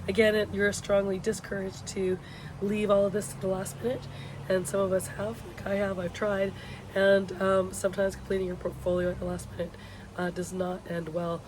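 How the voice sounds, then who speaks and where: Woman, hoarse sick voice, medium pitch, instructor's informal vlog, halting delivery, outdoor patio with clear background noise. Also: little pitch movement. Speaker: university education instructor hosting a video blog. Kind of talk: informal course announcements to students. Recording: loud outdoor ambient vehicle noise.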